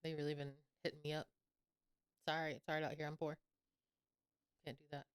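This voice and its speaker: A young woman chats casually as a podcast co-host, her speech rising and falling in a flowing, expressive way. Her voice sits a little low and sounds smooth and clean. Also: fairly quick. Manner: giggly, expressive, with a flowing speaking melody. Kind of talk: casual chat.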